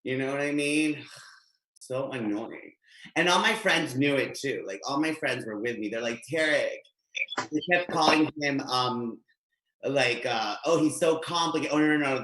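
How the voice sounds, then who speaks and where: Bright-voiced man doing stand-up from home. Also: mid-range voice. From Guatemala. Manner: chatty, animated, gesturing. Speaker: stand-up comedian. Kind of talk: stand-up set. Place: home room. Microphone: webcam, video call. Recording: echoey home room.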